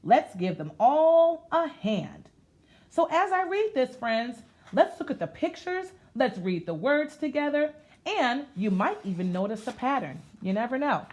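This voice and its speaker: A woman reading aloud to children in an expressive, sing-song way, talking to them directly as she goes. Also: high-pitched, a little slow. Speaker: children's story-time reader. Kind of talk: interactive read-aloud to children, part sung.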